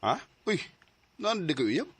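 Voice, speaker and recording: A man's voice: high voice, very animated, a little slow, noisy background, heavily acted. An actor playing a hesitant, stammering young man from Cebu in a radio drama. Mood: casual. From Cebu, Philippines. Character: young man, torn between love and money.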